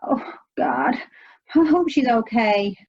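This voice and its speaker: A woman's voice: fairly high pitch, very animated, slow, breathy edge, dull audio, heavily acted. An actress in an audio play playing an anxious, sardonic American woman searching for her estranged sister. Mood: hurt, angry.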